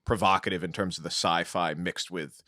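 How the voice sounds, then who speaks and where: A man co-hosting a podcast, talking through film history in a measured, explanatory way. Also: low voice, steady tone, bright, forceful tone, no room sound, clean studio sound. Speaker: podcast co-host. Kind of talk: informed film-history discussion.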